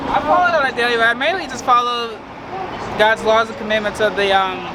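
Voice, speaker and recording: South African man, passerby, short hesitant replies, high voice, heavy street noise. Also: fairly even intonation, fast talker. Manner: brief, hesitant answers. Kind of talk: casual street conversation. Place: outdoors on a city street. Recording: loud outdoor street noise. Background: traffic noise.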